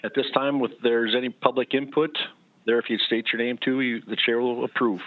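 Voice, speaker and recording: A man's voice: medium pitch, level tone, fairly quick, slight background noise. Male meeting chair on phone-like line. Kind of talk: formal procedural meeting talk. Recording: thin, phone-like conference line.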